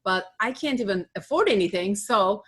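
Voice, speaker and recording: A woman's voice: mid-range voice, expressive, moderate pace, fairly bright tone, breathy edge. A woman from Turkey with a Turkish accent, telling her own story in casual chat as a podcast co-host, often laughing and restarting her sentences. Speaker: podcast co-host, beauty academy owner.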